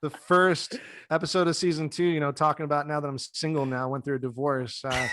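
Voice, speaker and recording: A man's voice: fairly high pitch, level tone, fairly quick, fairly bright tone. A man in his thirties who teaches yoga, co-hosting a podcast over a video call from a dim home room, speaking in a laid-back, soothing and joking way. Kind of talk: casual podcast chat. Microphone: desk mic, video call.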